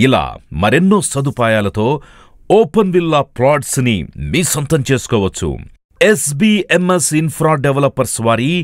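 A man's voice: mid-range voice, no echo, studio quality. A man reading a scripted promotional voice-over as an advertisement announcer.

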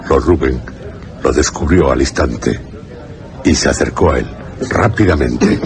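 A man reading scripted horror narration for a radio drama in a solemn, measured way. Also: extremely low pitch, background noise. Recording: radio drama with music behind.

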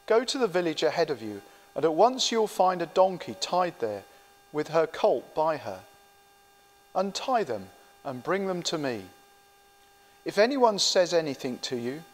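A man in his sixties reading scripture aloud as a lay reader in a church service. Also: a little high. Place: inside a church, standing with a printed sheet. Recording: reverberant church interior.

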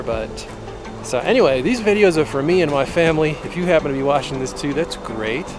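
Forties male vlogger, relaxed self-mocking chat, handheld cam outdoors, loud music bed. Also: mid-range voice. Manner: relaxed, chatty, self-deprecating. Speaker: family vlogger. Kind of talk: casual vlog to camera. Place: outdoors at a campground. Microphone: camera held at arm's length.

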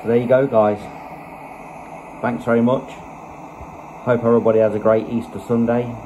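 A man's voice: medium pitch, flat delivery, moderate pace, dark tone, muffled recording, slight background noise. A man giving a casual, unscripted product review in a hesitant, conversational way, recorded indoors on a phone. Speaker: video channel host, product reviewer. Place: small room indoors. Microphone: phone microphone, held behind the camera.

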